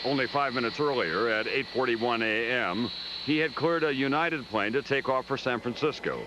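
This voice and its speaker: A man's voice: medium pitch, moderate pace, noisy background. Grave American male news narrator, slightly muffled. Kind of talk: scripted documentary narration.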